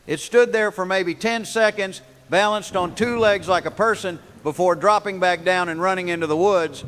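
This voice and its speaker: An actor playing an earnest, insistent American truck driver calling in to a radio show in a scripted drama. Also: male voice, high voice, moderate pace, a bit bright. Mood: earnest, uneasy. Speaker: radio caller. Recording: long reverb, faint noise.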